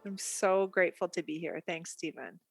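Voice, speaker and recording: Middle-aged canadian lady chatting on a podcast, lowish voice, dry close sound. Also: normal speed, breathy edge, dry recording, faint music behind. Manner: chatty, laughs easily, reflective. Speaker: guest art therapist. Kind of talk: casual podcast interview.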